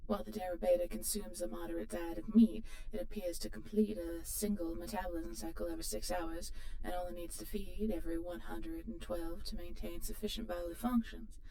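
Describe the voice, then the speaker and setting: A woman's voice: lowish voice, roomy sound, distant mic, background noise, lightly acted. A woman voicing a polite, analytical shipboard AI with formal, precise delivery in a sci-fi audio drama. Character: polite, helpful female shipboard AI, calm and analytical.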